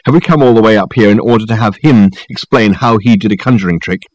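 A man's voice: medium pitch, very animated, fast, darkish tone, slightly rough, no room sound, pitched above the performer's own voice. A man voicing an awkwardly polite, reasonable man with a hesitant, wry, exasperated manner in an audiobook. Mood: sarcastic, exasperated.

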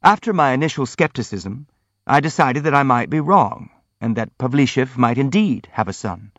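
A male narrator plays a timid, well-mannered young prince from a classic novel. He is earnest and anxious to be fair, quick to concede and apologise, in a plainly mid-pitched voice. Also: expressive, fairly bright tone, dry recording, muffled recording. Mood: hasty, apologetic. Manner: polite, earnest, hastily apologetic.

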